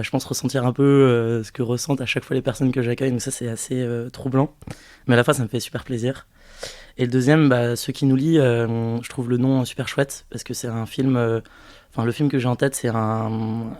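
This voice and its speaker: A man in his twenties, a podcast guest who was born in French Guiana, talks through his answers with frequent pauses and restarts. His voice is mid-pitched and stays flat, with little rise or fall. The sound is dry and close, with no room echo. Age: 20s. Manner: hesitant, thinking aloud with many pauses. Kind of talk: casual interview testimony.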